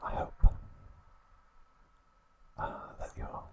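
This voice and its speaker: A man hosting ASMR, narrating in a soft, breathy whisper with a gentle, soothing manner and short, separated phrases. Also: very slow, heavy background noise. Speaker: ASMR channel host.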